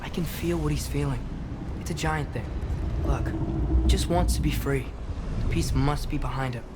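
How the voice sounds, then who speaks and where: A man's voice: a little high, normal speed, very noisy, close to an everyday voice. An actor playing an earnest, trusting and stubborn teenage boy hero in a cinematic video game, sincere and eager. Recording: loud music behind the voice.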